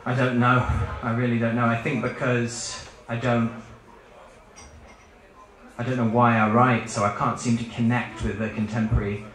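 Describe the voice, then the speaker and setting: A man's voice: medium pitch, little pitch movement, moderate pace, a bit bright, natural voice. A man voicing a timid, short-sighted male mole puppet who answers questions at a live event. He sounds mild, hesitant and self-deprecating. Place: book fair event room. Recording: echoey live event hall.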